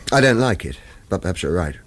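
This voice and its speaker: Radio thriller lead: a stoic former government killer, deep and understated yet varied in pitch, world-weary. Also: male voice, big pitch swings, fast, fairly bright tone, no room sound, exaggerated voice. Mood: wry, resigned. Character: weary ex-government assassin on the run, guarded and stoic. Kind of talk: radio thriller acting with first-person narration.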